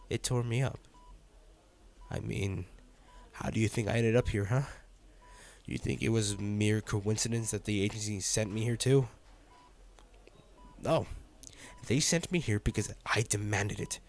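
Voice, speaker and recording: A man's voice: on the low side, vocal fry. A man playing an intense, protective male field agent in a dramatic audio roleplay, speaking emotionally and emphatically to his partner. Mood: pained, confessing. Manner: emotional, emphatic, addressing the listener directly.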